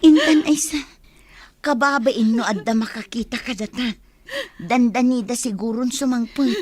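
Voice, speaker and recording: A woman playing an excitable, anxious young village friend in a radio drama, speaking with an Ilocano accent in an exclamatory way. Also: a little high, normal speed, husky, no room sound. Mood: panicked. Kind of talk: Filipino radio drama acting.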